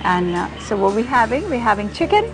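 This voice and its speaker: A woman's voice: medium pitch, sing-song, fast talker, low-quality recording. A woman in her thirties with an Indian accent, presenting a cookery show casually and effusively, switching between English and Hindi. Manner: effusive, gushing. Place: open-air veranda beside a garden, at a dining table. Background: music.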